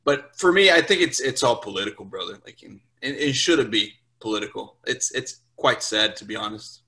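A man's voice: on the low side, expressive, moderate pace, bright, forceful tone. A man, a Portuguese speaker, chatting informally in an interview. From Brazil, later Portugal, England and Louisiana. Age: 20s. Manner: informal, swearing, checks in with "right?". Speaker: podcast guest, US Marine sergeant. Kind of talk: casual interview chat.

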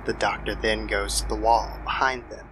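A man's voice: on the low side, steady tone, moderate pace. A man narrating a scripted horror story as an old-time style radio drama. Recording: noisy background ambience.

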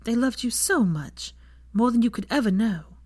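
A woman voicing a caring, earnest young witch in a storybook reading, gentle and careful with her words. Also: a little high, expressive, moderate pace, no echo, clean studio sound, pitched above the performer's own voice. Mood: tender.

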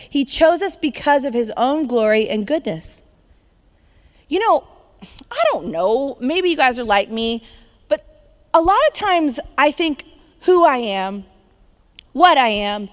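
A woman in her thirties preaches in a chatty, upbeat way, now and then breaking into a laugh. Her voice sits a little high. The sound carries a long reverb, as in a church hall. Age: 30s. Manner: conversational, upbeat, laughs between points. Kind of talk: sermon.

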